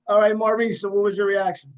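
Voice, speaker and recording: A man's voice: high-pitched, little pitch movement, moderate pace, highs cut off. A man hosting a casual talk show interview, encouraging his guests and often repeating himself. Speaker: host interviewing a play's cast.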